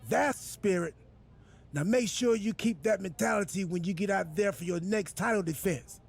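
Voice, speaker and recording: Gravelly, high-voiced oblivious wrestling boss. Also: male voice, normal speed, faint music behind, full character voice. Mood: upbeat, self-important. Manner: rambling, absurdly literal, unbothered by insults. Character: quirky, oblivious wrestling general manager, cheerfully self-important man.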